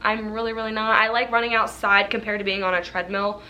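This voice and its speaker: Bright-voiced chatty young woman vlogger. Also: medium pitch, fast talker, dull audio. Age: 20s. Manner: chatty, animated, laughs often. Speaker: YouTuber. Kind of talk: casual Q&A vlog.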